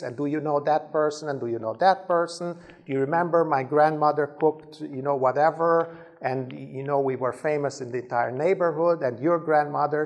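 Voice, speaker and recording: Gravelly-voiced man giving a leadership talk. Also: a little high, a little mellow. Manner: conversational, stumbles and repeats words, acts out sample dialogue. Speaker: conference speaker.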